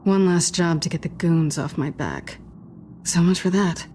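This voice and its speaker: An actress as a guarded young woman thief in a story-driven video game. Her voice sits on the low side, intimate and sardonic, with a teasing dry wit. There is clear noise and ambience behind her. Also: unhurried, dark tone, close to an everyday voice. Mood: guarded, irritated. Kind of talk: naturalistic video game acting.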